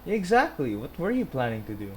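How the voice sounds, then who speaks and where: A woman's voice: deep voice, very animated, brisk, slight background noise. A woman streamer giving casual gameplay commentary in short, muttered reactions. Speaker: gaming streamer.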